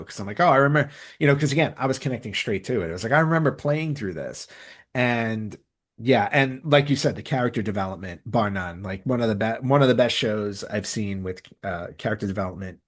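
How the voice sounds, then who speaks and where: A man talking casually on a podcast, sharing opinions emphatically and often restarting his phrases. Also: lowish voice. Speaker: podcast speaker.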